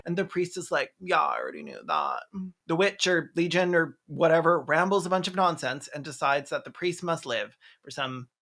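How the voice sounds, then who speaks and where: Snarky, deadpan movie recap commentator. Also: medium pitch, normal speed, vocal fry. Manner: snarky, deadpan asides, mock-dramatic exclamations. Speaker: video essayist, film reviewer.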